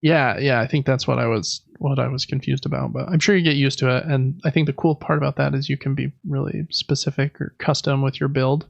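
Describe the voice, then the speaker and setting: Creaky-voiced man, dry slightly dull sound. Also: medium pitch, fairly even intonation, a little fast, few pauses, no room sound. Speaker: podcast co-host. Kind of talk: casual video game chat.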